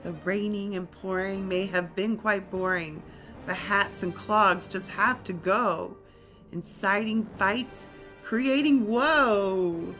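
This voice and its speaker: A woman voicing an earnest young storybook witch, chanting rhyming spells in a drawn-out singsong way. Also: mid-range voice, a bit bright, soft background music. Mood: incanting.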